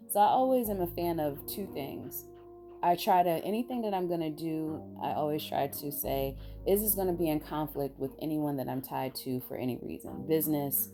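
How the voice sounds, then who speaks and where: A woman vlogger with a raspy voice, sounding tired, talking in a rambling, blunt and emphatic way. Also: low voice, dark tone. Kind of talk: casual opinion monologue.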